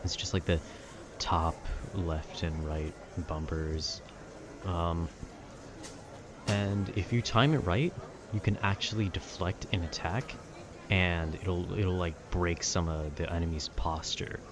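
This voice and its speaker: A man with a very deep voice rambles in a casual, conversational way. He sounds nerdy and meanders from thought to thought like a gamer chatting. Clear ambient noise runs behind his voice. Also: fairly even intonation, takes it slowly. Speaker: content creator. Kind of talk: casual rambling monologue about video games.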